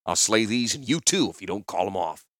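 A man's voice: fairly low pitch, lively intonation, fairly quick, fairly bright tone, no echo, clean studio sound. A man voicing a swaggering, defiant warrior hero who speaks in a commanding way in a fantasy audiobook. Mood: threatening. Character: boastful, defiant legendary male warrior hero.

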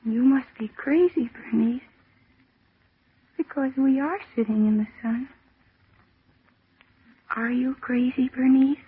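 An actress in an old-time radio drama playing a dazed woman retreating into a serene delusion, speaking in a dreamy, halting, childlike way. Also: sits high, breathy edge, exaggerated voice. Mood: puzzled, insistent. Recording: muffled, tinny old radio recording.

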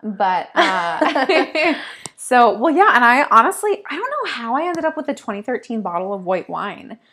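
A woman's voice: on the high side, sing-song, normal speed, fairly bright tone. Young woman from upstate New York, podcast co-host, chatty, detailed explainer. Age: young adult. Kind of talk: casual chat.

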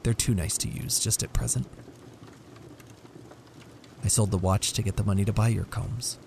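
Man as a low, gravelly young husband. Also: fairly even intonation, a little mellow, slight background noise. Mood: calm, tender. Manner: measured, laborious. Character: slow, stunned, devoted young husband. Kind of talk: dramatic storybook reading.

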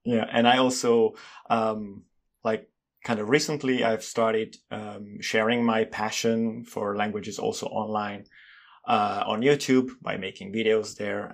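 A man with an Italian accent, a native Italian speaker, answering interview questions in a calm, thoughtful way as he searches for words. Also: fairly low pitch, measured pace, creaky. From Italy. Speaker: guest, polyglot and language consultant. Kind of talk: casual interview conversation.

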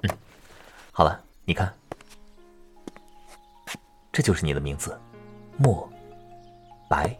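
Man acting deep-voiced gentle village scholar. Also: very animated, faint music behind, heavily acted. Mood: tender. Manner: tender, patient, unhurried. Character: gentle, caring young male village scholar-teacher of ancient China. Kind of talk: Chinese period romance audio drama acting.

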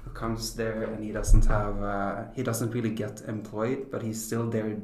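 A man from Sweden is a guest on a podcast and speaks over a video call. His voice is fairly low, and he pauses and restarts his sentences often. The call audio sounds roomy. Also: monotone, a little mellow, a little hiss or hum. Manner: hesitant, many restarts and fillers. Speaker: guest, politician and former teacher. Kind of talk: podcast interview.

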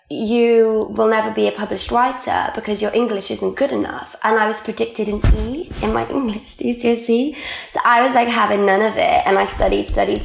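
Welsh woman, young adult, native Welsh speaker; casual guest chat, gravelly tone, echoey room. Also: mid-range voice. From Wales. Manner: chatty, lots of "like" fillers. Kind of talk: casual conversational interview.

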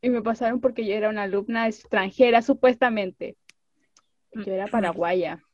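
A young Chilean woman with a Chilean accent, co-hosting a podcast and telling stories in a lively, laughing way. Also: medium pitch, moderate pace, no echo. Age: young adult. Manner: animated storytelling, laughs often. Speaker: podcast co-host. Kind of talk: casual chat. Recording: slightly dull lo-fi sound.

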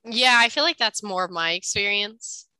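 A woman's voice: mid-range voice, lively intonation, normal speed, sharp tone, clear, resonant tone, no room sound, low-quality recording. A young adult woman with a slightly congested, nasal voice, chatting casually and jokily as a podcast co-host in a self-deprecating way. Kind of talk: casual banter between siblings.

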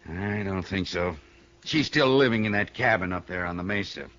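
A man's voice: low voice, normal speed, a bit bright. An American man playing a desperate small-town banker in a radio western, anxious and emphatic. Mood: worried, explaining. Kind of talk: 1950s radio western acting. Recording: muffled 1960 radio broadcast.

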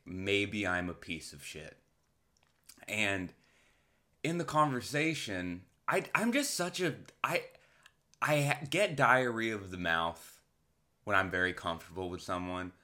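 A man's voice: low-pitched, sharp tone. A man talking casually as a podcast host, rambling candidly with self-deprecating comedy.

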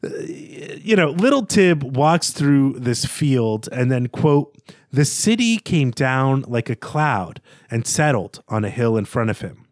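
A man's voice: mid-range voice, big pitch swings, takes it slowly, no room sound, studio quality. A man speaking as a podcast host in conversational literary analysis, thoughtful and articulate, with hesitant stammered repeats. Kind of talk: literary analysis in conversational monologue, with quoted passages.

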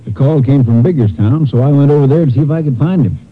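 Actor as matter-of-fact sheriff on old radio. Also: male voice, medium pitch, quick pace, lightly acted. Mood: businesslike. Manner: plain, factual, folksy. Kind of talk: old-time radio drama acting. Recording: muffled narrow-band old radio recording.

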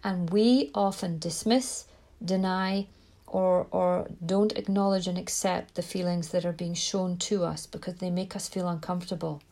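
A Scottish woman from Scotland hosting a parenting podcast, talking to listeners in a gentle, reflective way with frequent small restarts. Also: lowish voice, fairly even intonation, normal speed. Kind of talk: solo podcast talk.

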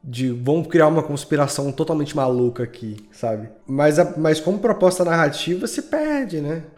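A man's voice: fairly high pitch, expressive, moderate pace, darkish tone. A man with a Brazilian accent speaking casually and informally, with plenty of slang, as a podcast guest. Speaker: podcast creator being interviewed. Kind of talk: casual chat. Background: music.

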